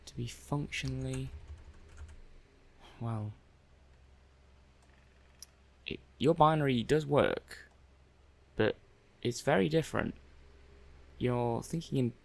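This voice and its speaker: Gravelly, hesitant male lab technician played by a man. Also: mid-range voice. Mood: perplexed. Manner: hesitant, halting, muttering to himself. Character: puzzled, mild-mannered male robot-testing technician. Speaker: roleplay performer. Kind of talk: ASMR roleplay.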